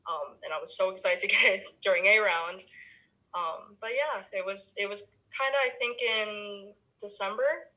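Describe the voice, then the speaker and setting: A woman's voice: mid-range voice, moderate pace, bright, forceful tone, low-quality recording. A young woman from the Philippines, a university student, chatting casually in an interview with many ums and restarts. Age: young adult.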